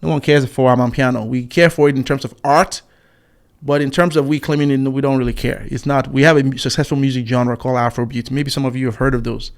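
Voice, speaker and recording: A man in his forties gives casual online commentary. He reads out and reacts to viewer comments in a scornful, rambling way, with frequent fillers and restarts. His voice sits at a middling pitch. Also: steady tone, quick pace. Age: 40s. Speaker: YouTube commentator.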